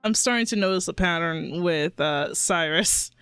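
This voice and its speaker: A woman streamer giving casual, expressive, giggly reaction commentary on a game, close on the microphone. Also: fairly low pitch, moderate pace, clean tone, dry recording.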